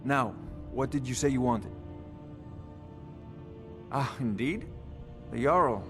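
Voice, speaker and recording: An actor playing a haughty, bookish court wizard in a fantasy game, precise and condescending. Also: male voice, medium pitch, expressive, takes it slowly, big-room sound, faint music behind. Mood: smug interest.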